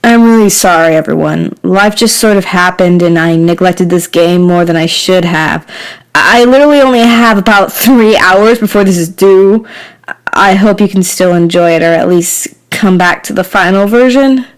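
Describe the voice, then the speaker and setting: A woman's voice: lowish voice, normal speed, smooth, natural voice, poor audio. A woman playing a flustered, apologetic game developer in a scripted comedic skit. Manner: sheepish, apologetic.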